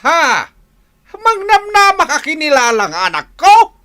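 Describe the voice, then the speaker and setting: A man with an Ilocano accent playing an excitable, scolding elderly father in a radio drama. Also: very high voice, a little slow, exaggerated voice. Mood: indignant. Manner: exclaiming, indignant questioning. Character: excitable, scolding elderly father, nosy about his children. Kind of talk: Filipino radio drama acting.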